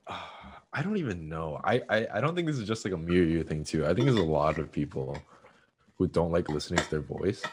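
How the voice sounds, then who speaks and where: A man's voice: low-pitched, animated, a little fast, a little hiss or hum. A young man chatting casually on a podcast, hesitant, repeating words and saying "like" often. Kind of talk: casual chat.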